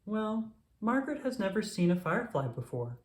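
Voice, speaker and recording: A narrator voicing a gentle, reassuring mother tiger in a children's storybook. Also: mid-range voice, big pitch swings, moderate pace, roomy sound, pitched above the performer's own voice, close to an everyday voice.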